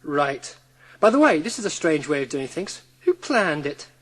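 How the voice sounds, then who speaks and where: A man playing a brisk, practical secretary with a businesslike, clipped manner in a dramatised audiobook. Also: on the high side, sing-song, fairly bright tone. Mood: curious. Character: brisk, practical gentleman's secretary surveying a room.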